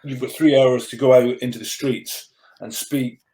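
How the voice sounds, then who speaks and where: A man's voice: mid-range voice, steady tone, normal speed, noisy background. Man with a controlled stammer. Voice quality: stammer, mostly under control. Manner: earnest and reflective, frequent restarts and repeated words. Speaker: podcast guest, rugby player turned coach. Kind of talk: candid personal storytelling in conversation. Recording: echoey room.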